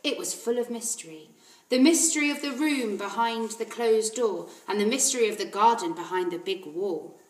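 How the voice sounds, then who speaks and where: A woman's voice: mid-range voice, normal speed, natural voice. A woman voicing a polite, confident, precocious four-year-old girl in a storybook, earnest and self-assured. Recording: roomy echo.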